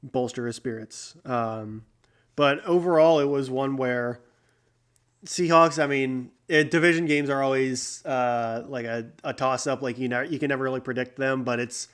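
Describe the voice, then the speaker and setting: A man's voice: mid-range voice, fairly bright tone. Stuffy-nosed man casually recapping football. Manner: rambling, many fillers and restarts. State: stuffy nose from a cold. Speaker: podcast host.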